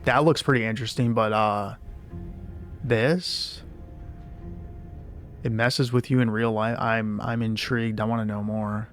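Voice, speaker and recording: A man with a raspy voice streaming a game, chatting in a relaxed, rambling way with frequent restarts. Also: on the low side, a little slow, pauses often. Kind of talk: casual gameplay commentary. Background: game music.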